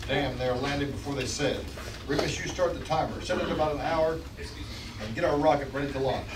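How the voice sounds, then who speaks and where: A man's voice: a little high, brisk, close to an everyday voice. A man playing a threatening, commanding saboteur villain in space, barking short orders in an amateur script table read. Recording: roomy, far from mic, noisy room.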